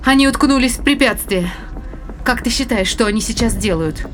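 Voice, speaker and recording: High-voiced, wary spaceship crew woman, acted. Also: moderate pace, close to an everyday voice. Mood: questioning. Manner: questioning, conversational. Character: captain's female crewmate, questioning, wary, practical. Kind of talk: science fiction audio drama acting.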